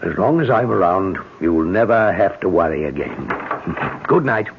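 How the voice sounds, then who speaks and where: Male, protective veteran-style detective for radio mystery, low, husky, varied intonation, tinny vintage sound. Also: lively intonation, moderate pace, background noise. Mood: fatherly, consoling. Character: shrewd, fatherly Scotland Yard inspector, male, protective. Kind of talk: old radio drama acting. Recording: tinny old radio recording.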